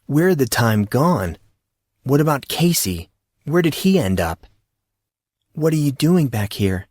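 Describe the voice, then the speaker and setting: A man voicing a guarded teenage girl with a light, calming, slightly nervous tone in an audio story. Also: medium pitch, unhurried, dry recording, clean studio sound, pitched above the performer's own voice. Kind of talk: audio drama character dialogue.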